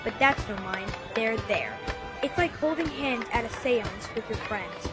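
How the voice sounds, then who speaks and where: A woman's voice: a little high, expressive, measured pace. A woman voicing an anxious, earnest young boy on a spooky adventure in an audio drama. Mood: weary, troubled. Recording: loud music behind the voice.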